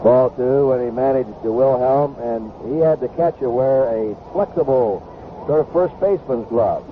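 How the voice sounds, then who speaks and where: A man's voice: mid-range voice, moderate pace, narrow, tinny audio. Male play-by-play baseball announcer for a vintage radio broadcast, thin band-limited sound under heavy noise. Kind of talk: live sports commentary. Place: ballpark broadcast booth. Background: loud ambient ballpark noise.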